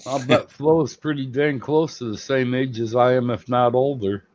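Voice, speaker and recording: A man's voice: mid-range voice, steady tone, moderate pace, darkish tone. A man in his fifties chatting as a guest on a live stream, friendly and plainspoken. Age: 50s. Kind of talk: casual chat. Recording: dull lo-fi audio with faint noise.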